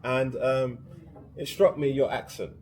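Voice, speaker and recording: A male podcast host leads a casual interview with short, friendly prompts. His voice is mellow and dark in tone. Faint background noise sits under it. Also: medium pitch, fairly even intonation, unhurried.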